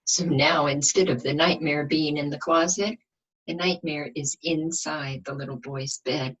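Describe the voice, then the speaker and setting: A woman reading a picture book aloud to young children like a teacher, expressive, often asking the listeners questions. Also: sits low, a little slow, husky, poor audio. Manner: asks the listeners questions, dramatic emphasis.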